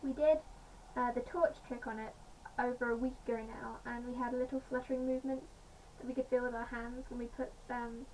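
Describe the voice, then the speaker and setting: A woman with a slightly croaky voice, chatting casually in a home vlog with many pauses and fillers. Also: fairly high pitch, steady tone, a little mellow. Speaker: vlogger. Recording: distant mic, faint background noise.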